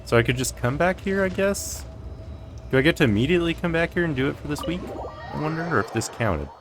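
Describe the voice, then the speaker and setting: A man's voice: a little high, animated, a little fast. A man doing casual game commentary as a streamer, chatting in an informal, rambling way. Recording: faint game music behind.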